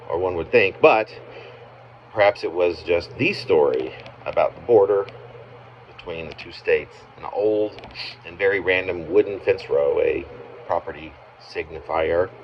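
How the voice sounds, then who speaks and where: Low-voiced man reading his own poem. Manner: halting phrasing with pauses mid-sentence. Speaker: poet, author reading own work. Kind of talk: literary reading of a narrative poem. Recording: muffled, noisy amateur home recording.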